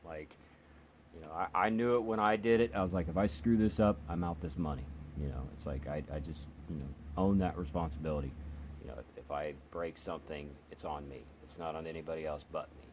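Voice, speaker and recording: Man, very low voice, rambling, hesitant. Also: steady tone, brisk, background noise. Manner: rambling, hesitant, many fillers and restarts. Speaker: radio reviewer, channel host. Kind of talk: casual product review vlog.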